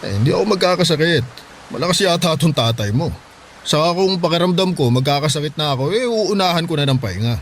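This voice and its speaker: A man's voice: on the high side, lively intonation, fast, a little hiss or hum. A man playing a hardworking middle-aged father in a Filipino radio drama, plain-spoken and reassuring, with a Filipino accent. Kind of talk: radio drama acting in Tagalog.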